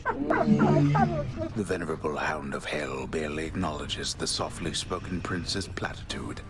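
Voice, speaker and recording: An actor playing a grave, wry old storyteller, narrating a video game in slow, ornate, solemn phrases. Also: male voice, very deep voice, wildly swooping pitch, over loud music, heavily acted. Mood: solemn, dry.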